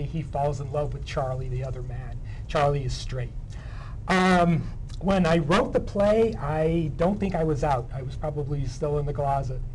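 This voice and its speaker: Male interview guest, fairly high voice, hesitant conversational phrasing, light noise in the background. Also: darkish tone, rough recording. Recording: faint background room noise.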